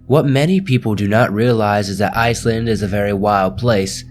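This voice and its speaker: A man hosting a scary story channel, reading ghost stories aloud in a slow, measured, hushed storytelling delivery. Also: lowish voice, soft background music. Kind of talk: scripted horror story narration.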